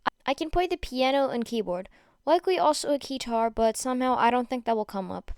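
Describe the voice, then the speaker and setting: A woman's voice: high voice, lively intonation, brisk, darkish tone, clean tone, no echo, studio quality. A woman reading the part of a composed, courteous teenage girl who leads her class. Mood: polite.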